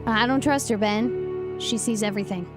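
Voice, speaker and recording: Wary young woman played by an actress: high voice, drenched in echo, horror audio drama. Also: moderate pace, natural voice. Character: wary, playful young woman, a secret friend. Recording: very echoey, distant, under music.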